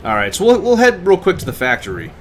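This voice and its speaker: A man's voice: high-pitched, wildly swooping pitch, fast talker, slight background noise. A man livestreaming a video game with casual running commentary. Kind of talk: casual let's play commentary.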